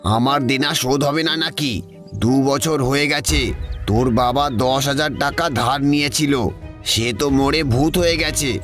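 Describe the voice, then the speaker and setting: Cartoon villain: cruel village moneylender, mocking and threatening, mid-pitched male actor, prominent music bed. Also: measured pace, a bit bright, natural voice. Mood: demanding, angry. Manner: scornful, bullying. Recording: music playing behind the voice. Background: background music.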